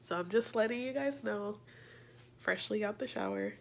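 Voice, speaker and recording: Gravelly, congested young woman vlogging. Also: medium pitch, huge pitch swings, normal speed, slight background noise. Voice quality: a little congested. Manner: chatty, rambling, self-mocking. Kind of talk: casual selfie vlog. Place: small room indoors. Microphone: phone camera at arm's length.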